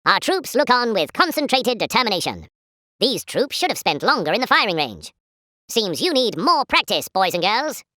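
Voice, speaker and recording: Casting: a jolly British 1940s newsreel announcer for a war game, pompous and patriotic, bright forceful tone, swooping theatrical delivery. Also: female voice, fairly high pitch, sing-song, normal speed, no echo, clean studio sound. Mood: stirring, patriotic. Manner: pompous, formal, chipper propaganda delivery.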